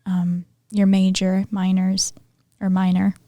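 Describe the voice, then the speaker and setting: A woman's voice: mid-range voice, monotone, slow, warm tone, no room sound, studio quality. A woman hosting a podcast, asking short, encouraging interview questions. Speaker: podcast host.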